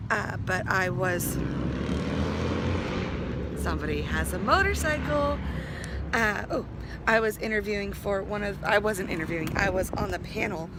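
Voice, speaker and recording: A woman teacher vlogging casually, chatty and upbeat with rambling asides, her voice hoarse as it comes back from being lost. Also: medium pitch, heavy background noise. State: hoarse, recovering voice. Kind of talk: casual vlog.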